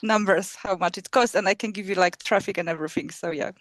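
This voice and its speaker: Polish-accented woman, halting speech. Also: mid-range voice, no echo. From Poland. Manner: halting, many restarts and laughs. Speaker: film festival co-founder. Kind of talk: casual interview chat.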